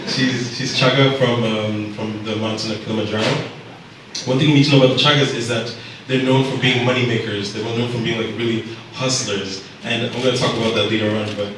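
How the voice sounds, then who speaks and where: A young man in his twenties from Zambia telling his life story to an audience in a relaxed, halting way with many fillers. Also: mid-range voice, background noise. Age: 20s. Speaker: speaker at a storytelling event. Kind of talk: personal storytelling talk. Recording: echoey room picked up from a distance.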